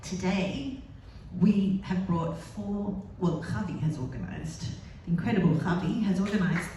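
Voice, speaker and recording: A woman's voice: fairly low pitch, animated, unhurried, dark tone, recorded from a distance, slight background noise. Effusive woman moderator in echoey hall. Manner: effusive, praising. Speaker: panel moderator. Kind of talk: introducing a speaker at a live event. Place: large synagogue hall.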